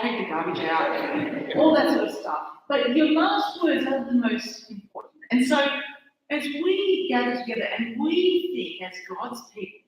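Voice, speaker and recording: An Australian woman gives a chatty conference talk, often halting and restarting mid-sentence. Her voice sits a bit higher than most, and it echoes heavily around a large hall from a mic set well back. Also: recorded from a distance. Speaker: conference speaker.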